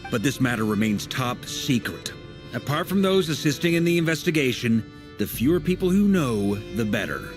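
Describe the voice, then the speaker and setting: A man's voice: medium pitch, big pitch swings, normal speed, faint music behind, lightly acted. A man playing an affable, slightly awkward informant in a video game, earnest, modest and a little hesitant.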